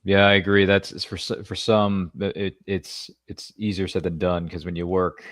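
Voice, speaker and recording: A man's voice: deep voice, level tone, normal speed, fairly bright tone, no echo, clean studio sound. A man hosting a live interview show, chatting casually with jokey, rambling asides. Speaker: podcast host.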